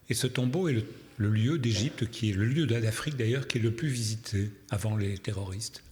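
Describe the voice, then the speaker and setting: A man who is not a native French speaker gives a public lecture as a therapist, telling stories with wry, deadpan humour and posing deliberate rhetorical questions. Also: on the low side. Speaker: psychotherapist giving a public lecture. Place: large reverberant hall, live talk. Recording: long hall reverberation.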